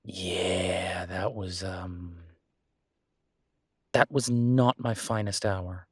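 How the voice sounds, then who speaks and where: A man's voice: low voice, steady tone, drawn-out words, slightly rough, no room sound, clean studio sound, heavily acted. A man playing a devoted, reassuring human boyfriend in an intimate roleplay audio, speaking casually and hesitantly. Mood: sheepish, amused. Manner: hesitant, casual, soothing, with soft chuckles. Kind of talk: roleplay audio acting, intimate one-sided conversation.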